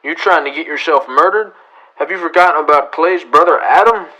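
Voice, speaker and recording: Western radio marshal, male actor: stern, blunt, commanding, fairly high-pitched with a sharp, bright edge. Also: fairly quick, poor audio. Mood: hard, exasperated. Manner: barking orders, blunt, forceful. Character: stern, commanding frontier town marshal, adult man. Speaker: actor in a student radio drama. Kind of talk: old-time radio western acting.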